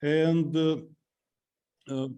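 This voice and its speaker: Ukrainian guy on a panel, real slow, stammers, lots of uh. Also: on the high side, little pitch movement, mellow, clean tone. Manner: halting, many fillers and stammered restarts.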